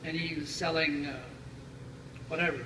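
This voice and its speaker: A man's voice: a little high, flat delivery, moderate pace. Older man reminiscing in a noisy, echoey room. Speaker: family storyteller. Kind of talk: casual reminiscing, storytelling. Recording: roomy, distant home recording with loud noise.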